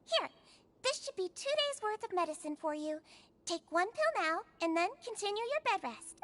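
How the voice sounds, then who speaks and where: Tiny gentle game nurse, squeaky high voice, lively lilt, polite and caring. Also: female voice, moderate pace, exaggerated voice. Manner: soft, polite and reassuring. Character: small gentle creature nurse, patient and caring.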